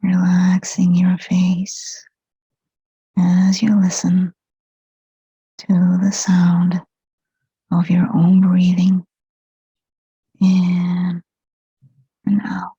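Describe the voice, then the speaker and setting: A woman leading a guided meditation in a soft, breathy whisper, speaking gently and soothingly in short phrases with long pauses between them. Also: on the low side, completely flat delivery, no room sound. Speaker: hypnotherapist guiding a meditation. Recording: dull, muffled lo-fi sound.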